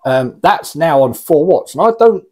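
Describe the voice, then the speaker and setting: A man's voice: fairly high pitch, expressive, moderate pace, background noise. A man in his forties chatting informally and rambling a little as he gives a casual hands-on product demonstration. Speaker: radio hobbyist presenting an unboxing. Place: small indoor radio room.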